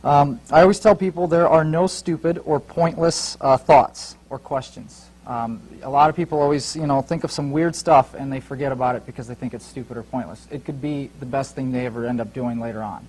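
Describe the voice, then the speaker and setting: A man's voice: medium pitch, fairly quick, a little mellow, low-quality recording. A man in his twenties from Ukraine who moved to the United States, giving an informal motivational talk as an entrepreneur, addressing listeners directly. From Ukraine, later Fort Wayne, United States. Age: 20s. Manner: informal, talks directly to the audience.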